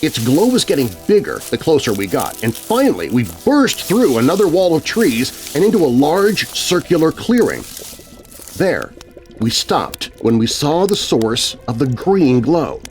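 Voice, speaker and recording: A man's voice: fairly high pitch, wildly swooping pitch, unhurried. A man narrating a scary children's story in a dramatic, scripted first-person style. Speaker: narrator of children's horror stories. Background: music bed.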